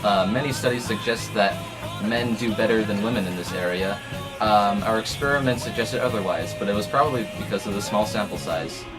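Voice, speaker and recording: A man's voice: on the low side, fairly quick, loud music behind the voice, poor audio. A young man explaining a class experiment in a loose way, with fillers. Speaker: student presenter.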